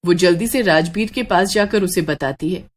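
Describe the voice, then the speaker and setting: A woman's voice: fairly low pitch, normal speed. A woman storyteller reading a scripted horror story.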